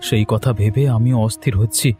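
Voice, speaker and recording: Man as an earnest, mellow-voiced young husband. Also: on the low side, fairly even intonation, a little fast, soft background music, lightly acted. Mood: troubled. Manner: downcast, earnest. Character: dejected young husband who has lost his job. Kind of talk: Bengali cartoon story dialogue.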